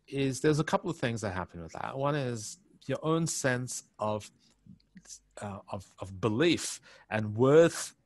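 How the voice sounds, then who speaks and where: A man's voice: medium pitch, lively intonation, a little slow, no echo. A man in his fifties from India with an Australian accent, telling his life story candidly over a headset on a video call from his home study, reflective and full of restarts. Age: 50s. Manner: reflective, frequent restarts and filler phrases. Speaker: interview guest.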